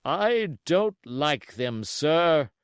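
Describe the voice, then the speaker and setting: A stern, plain-spoken sea captain, as acted by a male audiobook reader. He bites off formal, emphatic phrases at a slow pace. His pitch leaps about stubbornly. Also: very animated, smooth, no room sound, studio quality, heavily acted. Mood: blunt, disapproving. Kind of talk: audiobook character acting.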